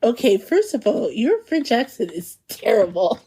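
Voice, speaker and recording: A woman's voice: on the high side, very animated, a little slow, warm tone, husky, low-quality recording. A woman co-hosting a food podcast in casual chat, bubbly and chatty, laughing often. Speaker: podcast co-host.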